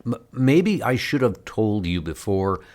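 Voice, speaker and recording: A man's voice: fairly low pitch, very animated, measured pace, darkish tone. Man as Steel, an anguished inventor hero. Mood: worried, determined. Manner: earnest, worried. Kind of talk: comic book audio drama. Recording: music under the voice. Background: music.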